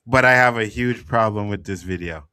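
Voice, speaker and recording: A man's voice: fairly low pitch, normal speed, sharp tone, clear, resonant tone, no echo, clean studio sound. A man hosting a casual chat show, joking loosely and swearing. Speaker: host.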